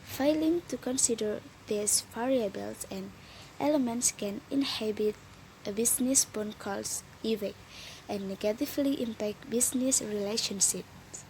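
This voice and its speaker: A young woman reading a scripted lesson as a student presenter, in a careful, slightly halting way. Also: a little high, darkish tone, a little hiss or hum, poor audio. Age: young adult.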